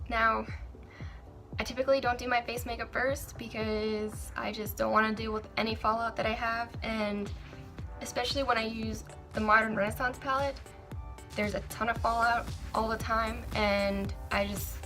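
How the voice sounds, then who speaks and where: A young woman with a raspy, sore throat, chatting candidly through a makeup review with frequent ums and restarts. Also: fairly high pitch, pushed and bright. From Maryland, USA. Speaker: beauty vlogger. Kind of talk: casual product review.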